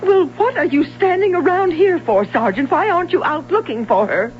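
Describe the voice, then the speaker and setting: A woman's voice: very high voice, animated, normal speed, a little hiss or hum. A woman playing an insistent, defensive middle-aged mother of a missing daughter in a 1970s radio drama, on a muffled old broadcast recording.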